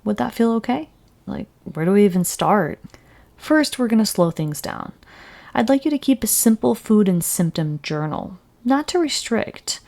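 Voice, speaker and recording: A woman presenting as a dietitian trainer, giving an instructional mock counseling session in a measured, reassuring delivery. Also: medium pitch, gravelly, darkish tone.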